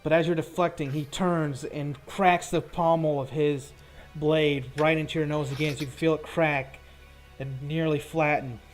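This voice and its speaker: A man's voice: fairly high pitch, steady tone, normal speed. A man narrating a tabletop fantasy game as game master, describing action in a conversational, improvised way. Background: background music.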